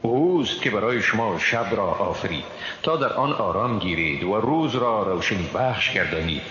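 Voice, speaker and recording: A man with an Afghan Dari accent reads a scripture translation in a formal, solemn, measured way. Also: on the low side, lively intonation, pushed and bright. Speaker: translation reader. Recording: reverberant hall, dull, noisy background.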